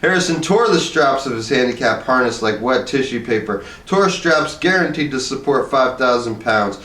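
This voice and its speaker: A man's voice: mid-range voice, expressive, moderate pace, sharp tone. A man reading a short story aloud as scripted narration. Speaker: story reader. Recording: echoey room, distant microphone.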